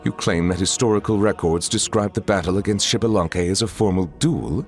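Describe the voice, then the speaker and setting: An actor voicing a grave, aloof male chief justice in a video game, with measured, formal, deliberate phrasing. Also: sits low, over music. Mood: considering, restrained. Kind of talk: scripted video game character lines.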